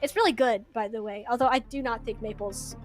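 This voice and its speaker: A woman doing live esports commentary as a shoutcaster, with playful, chatty banter. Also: a little high, a little fast. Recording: music behind the voice. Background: music.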